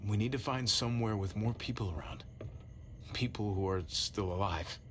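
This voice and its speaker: An actor playing a steady, protective man in an apocalypse video game drama, plain-spoken and reassuring. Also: on the low side, normal speed, a little hiss or hum, lightly acted. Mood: serious. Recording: music behind the voice.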